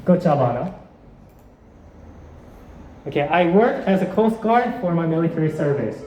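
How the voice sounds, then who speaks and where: A man's voice: fairly high pitch, big pitch swings, normal speed, a little mellow, a little hiss or hum. A man in his twenties from South Korea, giving a chatty classroom presentation and running a quiz, with frequent fillers and restarts. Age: 20s. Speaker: exchange student presenting a quiz. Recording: roomy, slightly muffled classroom audio.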